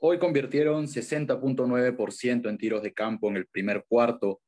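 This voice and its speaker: A man's voice: mid-range voice, fairly even intonation, normal speed, a little mellow. A male reporter from Peru asking a direct, prepared question at a press conference.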